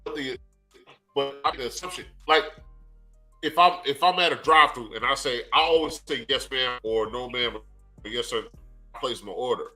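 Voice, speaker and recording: A man co-hosting a podcast in casual chat, talking in an animated, emphatic, joking way. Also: mid-range voice, moderate pace, soft background music, poor audio. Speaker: podcast co-host.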